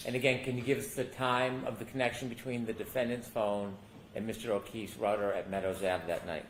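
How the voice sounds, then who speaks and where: A man's voice: on the low side, steady tone, fairly quick. Formal male lawyer in an echoey courtroom. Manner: formal, polite, procedural. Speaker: prosecuting lawyer examining a witness. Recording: echoey courtroom, faint noise.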